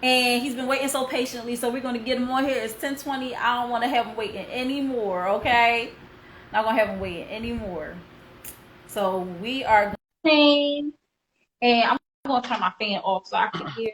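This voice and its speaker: High bright-voiced woman hosting in an echoey room. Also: normal speed, a little hiss or hum. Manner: enthusiastic, affectionate, laughs easily. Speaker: show host. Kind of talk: casual interview hosting.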